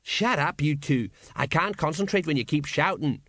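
A man's voice: a little high, expressive, normal speed, fairly bright tone, dry recording, studio quality. A man voicing a blustering, anxious older watch sergeant who grumbles in colloquial speech, in an audiobook story. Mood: irritated.

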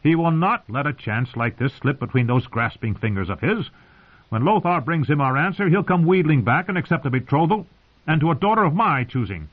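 Casting: hot-headed noble played by a male reader, protesting loudly, pitched well up, storybook drama. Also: expressive, brisk, narrow, tinny audio. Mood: insistent. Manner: emphatic, protesting. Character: proud, indignant young-to-middle-aged lord, hot-tempered and petulant.

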